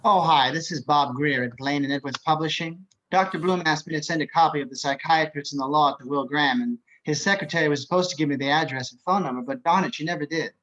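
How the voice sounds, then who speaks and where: A man's voice: a little high, quick pace, few pauses, fairly bright tone, natural voice. A man playing an imprisoned psychiatrist in a table read, sly and courtly, with precise, politely mocking delivery. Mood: falsely folksy charm. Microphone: video call. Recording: dull video-call audio.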